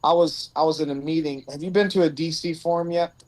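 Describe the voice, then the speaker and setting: A man's voice: a little high, steady tone, brisk, a little mellow, no echo. Earnest man in his thirties, dry sound. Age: 30s. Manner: earnest, thinks aloud with restarts. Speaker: rescue mission CEO. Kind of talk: casual conversation on a livestream. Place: outdoors on a bench.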